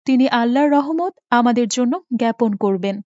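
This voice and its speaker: A woman playing a gentle, devout, long-suffering mother in a moral cartoon, tender and preachy. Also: a little high, lively intonation, normal speed, dry recording, lightly acted. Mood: devout, instructive. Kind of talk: Islamic moral cartoon voice acting. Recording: muffled, with music behind.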